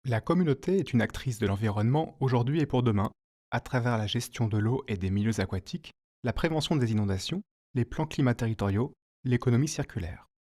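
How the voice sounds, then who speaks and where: A man reading a scripted informational narration as an explainer, in a clear, didactic manner. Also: mid-range voice, fairly quick, darkish tone.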